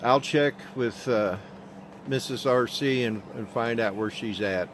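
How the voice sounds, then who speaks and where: A man with an Oklahoma accent chatting on a live stream from his handheld phone outdoors, talking in a slow, halting monotone and answering viewers' comments. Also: mid-range voice, a little hiss or hum. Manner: slow, drawling, halting with many fillers. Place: outdoors at sunrise.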